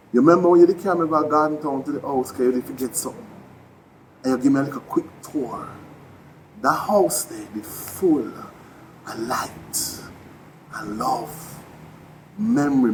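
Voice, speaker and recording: A man's voice: on the high side, darkish tone, a little hiss or hum, low-quality recording. A man with a Jamaican accent, an actor giving a heartfelt spoken tribute addressed directly to a departed mentor.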